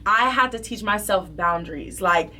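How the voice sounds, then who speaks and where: A woman's voice: medium pitch, lively intonation, normal speed, bright, forceful tone, a little hiss or hum. A woman in her twenties hosting a podcast, leading a casual interview in a chatty, slangy way and swearing freely. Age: 20s. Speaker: podcast host. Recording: voice a bit distant from the mic.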